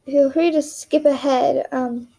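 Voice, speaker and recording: A young woman talks through a drawing tutorial in a flat, rambling monotone, often half-whispering while she draws. Her voice sits high but has a mellow, gravelly edge. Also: slow, low-quality recording. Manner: monotone, rambling, self-deprecating. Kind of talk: casual drawing tutorial narration.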